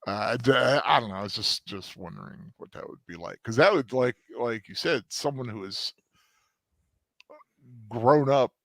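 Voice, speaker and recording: A man's voice: medium pitch, expressive, moderate pace, a bit bright, no room sound. A man co-hosting a casual podcast, talking hesitantly and searching for words. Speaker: podcast co-host. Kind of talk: casual discussion.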